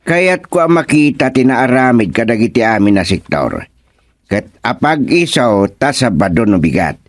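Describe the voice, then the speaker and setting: A man with an Ilocano accent playing a proud, deliberate village chairman in a radio comedy-drama, musing in drawn-out phrases with many interjections. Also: mid-range voice, very animated, no echo, clean studio sound, close to an everyday voice. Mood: pleased, musing.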